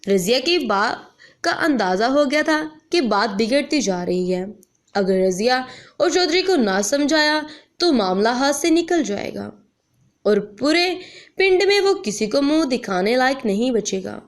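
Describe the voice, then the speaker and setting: A woman tells a dramatic story from a script. Her voice sits on the high side and moves up and down in lively, animated swings. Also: measured pace.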